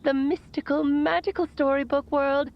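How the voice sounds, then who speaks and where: A woman's voice: high voice, fairly even intonation, moderate pace, vocal fry, highs cut off. An actress voicing a playful, eccentric woman mage who speaks in a theatrical, sing-song way through a recorded message. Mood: teasing.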